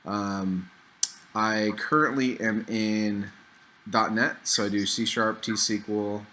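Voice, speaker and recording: A man's voice: fairly low pitch, takes it slowly, slight background noise. A man hosting his developer channel and chatting casually on a video call, loosely and a bit rambling. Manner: upbeat intro, then relaxed and rambling. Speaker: YouTube host and developer.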